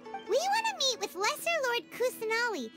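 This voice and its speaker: A woman voicing a tiny, childlike, excitable fairy companion in a video game, with a squeaky voice. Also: normal speed. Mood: eager. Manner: refers to self in third person, exclaims. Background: music.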